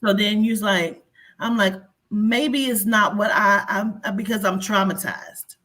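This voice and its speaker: A woman's voice: mid-range voice, a little slow, fairly bright tone. A woman guest in a casual interview, chatty and earnest.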